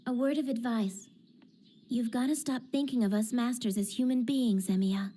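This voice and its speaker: A woman playing a sharp, pragmatic teenage girl mage in an English anime dub, blunt and lecturing. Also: a little high, normal speed, close to an everyday voice. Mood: exasperated, cool. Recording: dramatic music under the voice.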